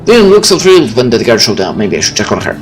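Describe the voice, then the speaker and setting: A man's voice: medium pitch, huge pitch swings, quick pace. A man hosting a game video, reading story narration aloud and adding casual, wry asides. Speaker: gaming video host. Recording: faint game music behind the voice.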